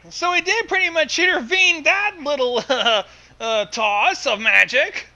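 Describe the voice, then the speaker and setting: A man's voice: very high-pitched, unhurried, sharp tone. A man giving casual, reactive commentary as a guest on a story reading.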